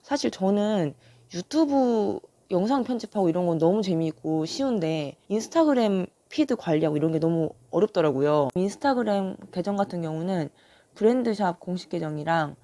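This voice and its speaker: Young woman vlogger, hoarse and gravelly from a sore throat, low mellow voice, calm and sincere. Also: moderate pace, no echo. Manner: calm, earnest. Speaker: online seller and vlogger. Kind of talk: calm vlog talk to viewers.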